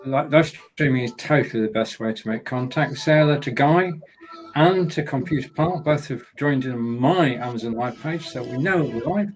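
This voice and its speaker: An English man, a live broadcaster guesting on a stream, relaxed with stumbling restarts. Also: medium pitch, normal speed, soft background music, rough recording. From England.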